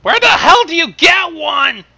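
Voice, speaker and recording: High, sharp-voiced, incredulous man. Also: expressive, a little slow, gravelly. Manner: exclaiming, incredulous. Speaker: livestream co-commentator. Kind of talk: casual reactive commentary.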